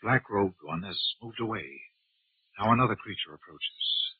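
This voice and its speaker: Through a tinny, narrow old radio recording comes a worried alien lieutenant. His hoarse-edged voice moves up and down in pitch as he makes dutiful, uneasy military reports. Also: expressive, moderate pace, dry recording. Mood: uneasy, alert. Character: anxious, dutiful male alien junior officer. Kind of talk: 1950s radio drama acting.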